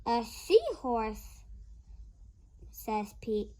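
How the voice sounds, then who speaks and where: A young girl reading a picture book aloud in a halting, word-by-word way. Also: fairly high pitch, sing-song, warm tone. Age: child. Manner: halting, word-by-word early-reader delivery. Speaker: young reader.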